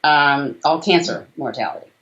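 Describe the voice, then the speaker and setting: A woman's voice: deep voice, moderate pace, fairly bright tone. A woman in her forties speaking as a doctor in a conversational interview, talkative and emphatic, listing details over a video call. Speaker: doctor and author, interview guest. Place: small room indoors, video call. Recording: slightly dull video-call audio.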